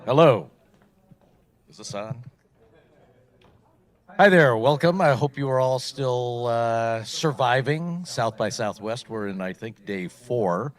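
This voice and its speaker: A man's voice: medium pitch, lively intonation, slow, pauses often. A middle-aged man from Poland hosting an event on stage, talking casually into a microphone and rambling with many fillers. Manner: chatty, rambling, frequent fillers. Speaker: event host.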